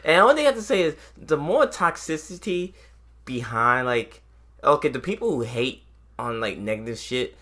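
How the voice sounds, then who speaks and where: A man's voice: mid-range voice, sing-song, moderate pace, bright, forceful tone. A man co-hosting a casual podcast chat, talking in a slangy, profane, opinionated way. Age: adult, a parent of a young daughter. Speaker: podcast co-host.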